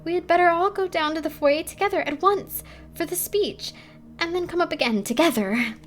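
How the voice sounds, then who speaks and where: Woman voicing an excitable, high-pitched young ballet girl. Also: a little fast, soft background music. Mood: anxious urging. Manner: breathless, insistent, gossipy.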